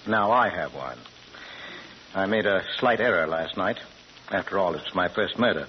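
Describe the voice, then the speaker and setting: A suave, scholarly killer doctor from classic radio drama. The voice is low and lively in pitch, always courteous and precise. The vintage recording is muffled and noisy. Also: male voice, moderate pace. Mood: dryly resigned. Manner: polite, precise, suavely understated. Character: urbane male doctor, coolly murderous, genteel, scholarly criminal. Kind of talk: 1930s-40s radio drama acting.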